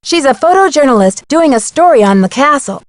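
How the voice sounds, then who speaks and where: Young female detective, high-pitched, animated, inquisitive, sensible, game dialogue, dry sound. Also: fairly quick, dry recording. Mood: earnest, explaining. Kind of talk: scripted video game dialogue.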